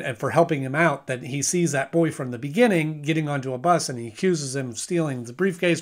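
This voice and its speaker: A man giving a casual video review of a cartoon episode, rambling and correcting himself with frequent restarts. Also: on the high side.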